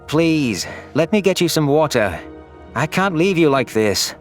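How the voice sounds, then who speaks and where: A man's voice: fairly high pitch, sing-song, unhurried, faint music behind. A man narrating a scripted science fiction story in the first person as an anguished, guilt-ridden alien. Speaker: narrator of a sci-fi audio story.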